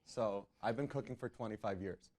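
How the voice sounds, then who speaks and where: A man's voice: fairly low pitch, brisk, a little mellow. Thirty-year-old man, casual talker. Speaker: amateur home cook, contestant. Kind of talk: casual group discussion.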